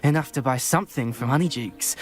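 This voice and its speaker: A woman voicing a mean, mocking student bully in a game cutscene. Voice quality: low, mocking sneer. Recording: game cutscene with music behind.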